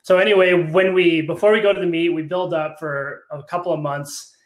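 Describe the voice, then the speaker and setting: A man in his thirties hosting a casual podcast, teasing and relaxed, explaining youth weightlifting coaching in long, run-on stretches. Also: on the high side, a little fast. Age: 30s. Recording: roomy echo.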